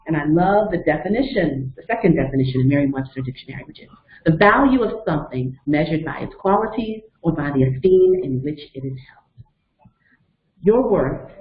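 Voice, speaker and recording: A woman in her fifties leading a motivational webinar, explaining step by step and telling personal stories in an earnest way. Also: deep voice. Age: 50s. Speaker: webinar facilitator and coach. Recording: echoey, phone-like narrow sound.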